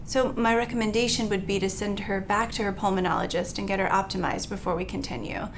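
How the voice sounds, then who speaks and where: A woman playing a calm, firm anesthesiologist who explains things tactfully in a training role-play. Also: mid-range voice, quick pace, a little hiss or hum, lightly acted. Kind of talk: role-played training dialogue.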